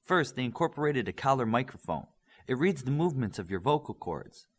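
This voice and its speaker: A man narrates a superhero audiobook in a husky voice. His pitch moves around a lot as he reads scripted fiction expressively and shifts into the characters' dialogue. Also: fairly quick. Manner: expressive reading of superhero story.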